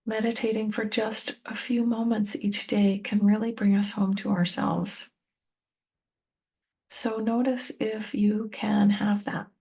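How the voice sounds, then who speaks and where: A woman leads a guided meditation in a soft-edged, dark voice with plenty of fry. Her pitch stays fairly level as she gives gentle, unhurried instructions straight to the listener, leaving space between short phrases. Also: flat delivery. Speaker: meditation teacher and tapping coach.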